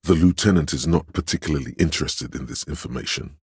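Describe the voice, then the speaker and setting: A commanding inner voice of authority for a video game, extremely deep in pitch and very smooth. The delivery is haughty, pompous and measured, like a stern narrator who expects to be obeyed. The recording is close and dry. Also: male voice, dry recording, heavily acted.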